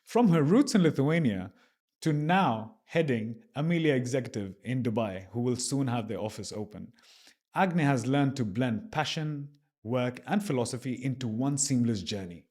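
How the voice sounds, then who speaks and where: A man's voice: medium pitch, animated, normal speed, darkish tone. A man hosting a podcast interview, reading a scripted intro and then asking casual questions with fillers. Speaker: podcast host.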